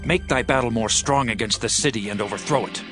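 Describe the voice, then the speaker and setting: A man's voice: medium pitch, a little fast, over music, natural voice. An actor playing a commanding, passionate king of ancient Israel, speaking regally in a dramatized Bible audiobook. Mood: measured command. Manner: regal, declamatory.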